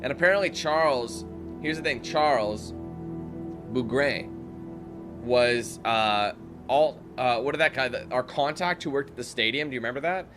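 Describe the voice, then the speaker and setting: Man, gameplay commentary, thinks out loud, reads game text, talks to viewers, game music behind. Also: mid-range voice, normal speed. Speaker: gaming YouTuber. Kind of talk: let's-play commentary mixed with reading game text aloud.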